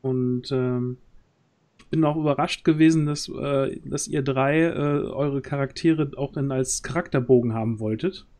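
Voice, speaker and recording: A man in his thirties chats casually as a stream host. His voice is soft-edged and mellow at an ordinary pitch, and he often stops to search for words. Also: fairly even intonation, measured pace. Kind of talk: casual livestream chat. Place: indoor home streaming setup. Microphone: close studio microphone on arm.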